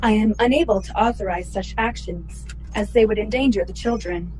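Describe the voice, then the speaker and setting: A woman playing a calm, obedient spaceship computer in a sci-fi radio play, speaking formally, precisely and in measured phrases. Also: mid-range voice, slight background noise, lightly acted, poor audio. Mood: calm, cautious.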